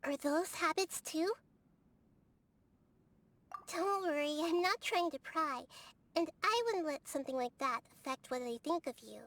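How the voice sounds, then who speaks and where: A woman voicing a small, gentle, curious child deity in a video game, thoughtful and soft-spoken. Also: sits high, measured pace, pauses often. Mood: curious, earnest. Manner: soft-spoken, thoughtful, quizzical, speaks in animal analogies. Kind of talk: video game character dialogue. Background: music.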